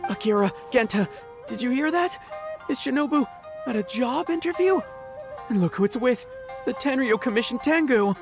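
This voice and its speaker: An actor playing an excitable, anxious young gang underling in a video game, panicky and prone to stammering. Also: mid-range voice, moderate pace, lightly acted. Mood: surprised. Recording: music behind the voice.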